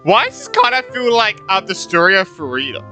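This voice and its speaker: A woman's voice: medium pitch, huge pitch swings, fairly quick, sharp tone. A woman streamer giving casual gameplay reactions in musing, hesitant asides. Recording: game music behind the voice.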